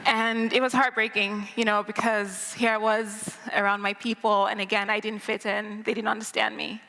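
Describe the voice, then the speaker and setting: A woman's voice: medium pitch, flat delivery, normal speed, sharp tone. A young woman from Nigeria telling a personal story live on stage, in a wry, conversational manner. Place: old church used as a theater stage. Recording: reverberant church hall, live.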